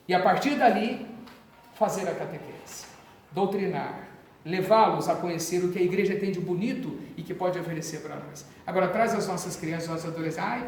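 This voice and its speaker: A Brazilian man from São Paulo, a priest, giving an informal training talk to parish catechists, animated and conversational with asides and jokes. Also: sits high. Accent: Brazilian Portuguese, São Paulo. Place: parish hall. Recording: echoey parish hall from far off.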